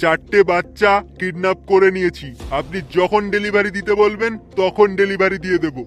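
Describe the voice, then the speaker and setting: High-pitched cartoon villain , male actor: greedy, sleazy child snatcher, gloating drawl, faint music underneath. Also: fairly even intonation, a little fast, close to an everyday voice. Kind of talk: amateur comic cartoon dubbing.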